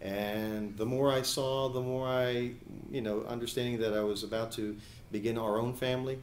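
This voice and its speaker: A man in his forties from New Jersey, answering questions as an attorney and judicial candidate in an editorial board interview, speaking in a measured way with frequent hesitations. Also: mid-range voice, fairly even intonation, echoey room, slight background noise. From New Jersey, USA. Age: 40s.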